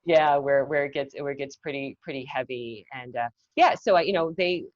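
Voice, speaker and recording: Low-voiced woman guest, halting and thoughtful. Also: no echo, dull audio. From Massachusetts, north of Boston. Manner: thoughtful, peppered with "you know" and restarts. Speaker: guest, marketing executive. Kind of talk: casual conversational interview.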